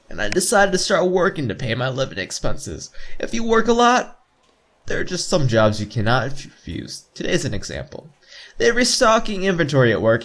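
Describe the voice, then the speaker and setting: Animated man reading a game aloud. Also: a little high. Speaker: let's player reading a visual novel aloud. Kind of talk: reading game narration with casual asides. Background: game music.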